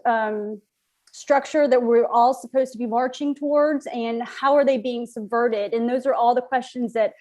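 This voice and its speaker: A woman speaking as a playwright in an interview, thinking aloud in a halting, reflective way with rhetorical questions. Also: fairly high pitch, fairly even intonation, darkish tone.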